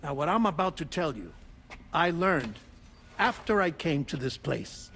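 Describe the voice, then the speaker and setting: An eccentric male scientist gives a theatrical game monologue. His voice is fairly high and swings widely, deliberate and then suddenly flourishing. Music plays behind him. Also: sing-song, fairly bright tone, exaggerated voice. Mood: explanatory, dramatic. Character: theatrical, eccentric scientist leaving a recorded farewell message.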